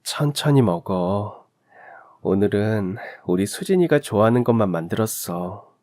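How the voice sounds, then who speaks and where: A man voicing a gentle, sorrowful mother with a slightly choked low voice in a radio drama. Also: lively intonation, a little slow, no echo, studio quality. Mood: tender sadness. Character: gentle, sorrowful stepmother speaking slowly to child.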